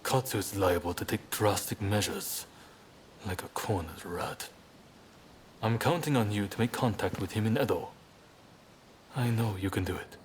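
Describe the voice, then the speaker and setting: A man acting a confident, comradely ally in a samurai game cutscene, encouraging, with faint rumble behind him. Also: moderate pace, pauses often, natural voice. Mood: confident, thoughtful.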